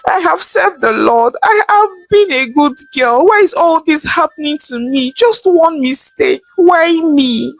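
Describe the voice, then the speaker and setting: A woman's voice: high-pitched, no room sound, voice pushed up. A woman voicing a desperate young woman who pleads through tears. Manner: tearful, pleading.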